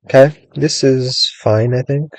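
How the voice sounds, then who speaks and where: A young man giving casual, rambling commentary on his own gameplay, thinking aloud with lots of "like"s and a voice gone a little raspy from hours of talking. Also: medium pitch, measured pace, dry recording. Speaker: gaming streamer.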